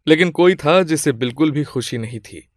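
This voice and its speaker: A man's voice: on the high side, animated, moderate pace, no room sound, studio quality. A man reading scripted moral-story narration as the storyteller.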